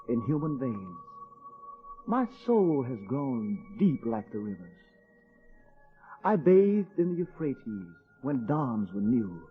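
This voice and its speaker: A man acting a lonely, dreamy young poet in a radio drama, speaking in an earnest, heartfelt way. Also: medium pitch. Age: young adult. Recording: thin, phone-like old radio recording.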